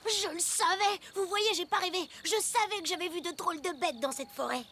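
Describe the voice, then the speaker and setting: A woman voicing an excitable, skittish little boy animal in a children's cartoon, exclamatory and melodramatic. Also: very high voice, a little fast, slight background noise. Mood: excited.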